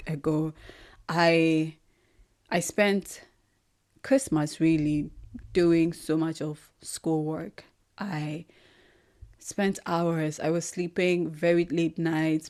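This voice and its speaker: Ghanaian woman, young adult, low voice, earnest reflective podcast host. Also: darkish tone. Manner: thoughtful, earnest, pauses to search for words. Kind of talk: reflective solo podcast monologue.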